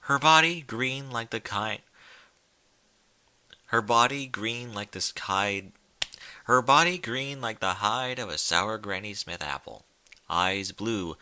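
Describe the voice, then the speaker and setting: A man narrates stories with theatrical, deliberate delivery. His voice sits a little low yet is strikingly bright and forceful, with lively swings of pitch. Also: no room sound. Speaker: story reader. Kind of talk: dramatic scripted narration of fan fiction.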